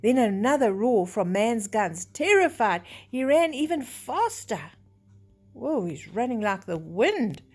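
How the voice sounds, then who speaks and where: A woman's voice: fairly high pitch, very animated, a little slow, a little mellow, husky, faint music behind. An older woman storyteller reading a children's story expressively, with chatty asides that explain things simply.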